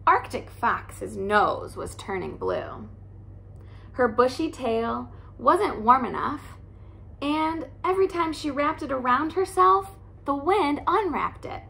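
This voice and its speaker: A woman in her thirties, a teacher, reading a picture book aloud in a soft, cozy way in a living room by a fireplace. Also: high voice, expressive, slow pace, gravelly.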